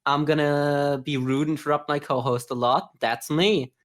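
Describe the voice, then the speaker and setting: A man's voice: a little high, steady tone, moderate pace, a bit bright. Sarcastic man co-hosting a casual podcast. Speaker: podcast co-host. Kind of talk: casual, joking chat and reactions.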